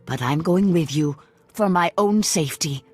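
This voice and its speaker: Game drama role: wary, pleading middle-aged woman, stubborn and lovelorn, husky, slightly low. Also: normal speed, darkish tone, faint music behind. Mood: wary, hesitant. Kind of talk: dramatic video game cutscene acting.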